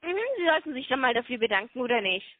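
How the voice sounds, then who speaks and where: A woman working as a telemarketer, speaking in a formal, brisk, scripted way on a sales call. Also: on the high side, lively intonation, no room sound. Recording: dry narrow phone line.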